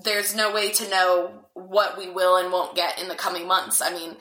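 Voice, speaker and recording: A woman's voice: fairly low pitch, level tone, moderate pace, bright, forceful tone. A woman guest in a casual podcast chat, musing aloud with lots of "I mean" and asides. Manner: thoughtful, rambling, hedges with "I mean". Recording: echoey room.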